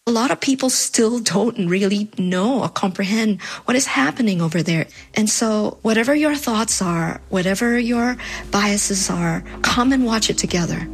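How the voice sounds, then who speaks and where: Husky mid-pitched woman in an interview clip. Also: moderate pace. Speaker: documentary filmmaker.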